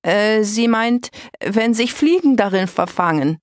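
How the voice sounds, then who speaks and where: A woman's voice: mid-range voice, lively intonation, measured pace, no room sound, clean studio sound, voice pushed up. A woman narrator voicing a polite, eager young vampire girl who speaks a little hesitantly in a children's story. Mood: flustered.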